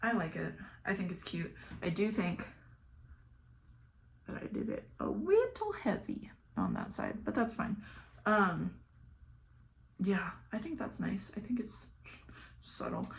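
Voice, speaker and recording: A young woman with a Southern American accent, chatting flatly and casually while doing her makeup, sometimes dropping to a mumble or whisper. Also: medium pitch, unhurried, creaky, long pauses, slight background noise. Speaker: beauty vlogger. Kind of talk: casual get-ready-with-me chat.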